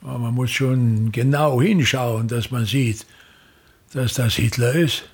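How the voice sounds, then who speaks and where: An actor playing a pompous, embittered touring man of the theatre, declaiming scornfully and repetitively with a creaky voice in a radio drama. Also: medium pitch, fairly even intonation, a little slow. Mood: indignant.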